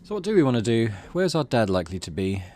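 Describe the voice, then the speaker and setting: A man's voice: mid-range voice, big pitch swings, fast talker, a little mellow, slight background noise. A man doing casual game commentary, thinking aloud in a hesitant, rambling way. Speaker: video game let's player.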